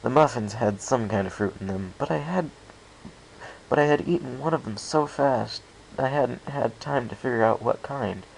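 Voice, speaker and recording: Guy reading a story out loud, animated, fry in his voice, faint background noise. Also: mid-range voice, normal speed, poor audio. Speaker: amateur fan fiction reader. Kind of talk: scripted first-person story narration.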